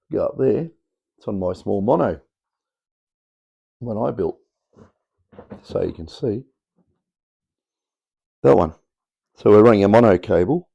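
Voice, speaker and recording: A middle-aged Australian man with a croaky, worn voice from talking all day, rambling casually as he demonstrates a build in his home workshop. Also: fairly low pitch, mellow, lo-fi sound. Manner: rambling, offhand, chatty explaining. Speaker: electronics hobbyist host. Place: cluttered home workshop, indoors. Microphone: camera mic on tripod.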